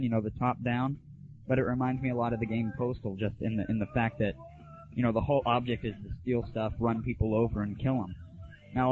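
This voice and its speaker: A man hosting a gaming audio show, giving casual, slangy, opinionated and irreverent reviews. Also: lowish voice. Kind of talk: casual solo rant and game review. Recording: narrow, phone-like 1990s internet audio.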